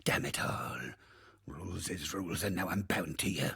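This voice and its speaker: An actor playing a gruff, salty old undead pirate captain in a video game, swaggering and theatrical, with crude archaic sailor talk and a husky, gravelly voice. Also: male voice, deep voice, expressive, moderate pace, a bit bright, no echo, studio quality, exaggerated voice. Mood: grudging, irritated. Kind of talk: video game character acting.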